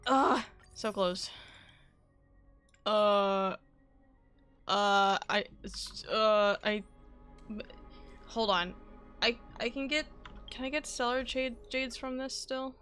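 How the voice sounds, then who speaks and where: A woman's voice: a little high, steady tone, slow, long pauses, fairly bright tone, clean tone, faint music behind. A woman game streamer chatting and reacting casually, with playful, dramatic pleading and exclaiming.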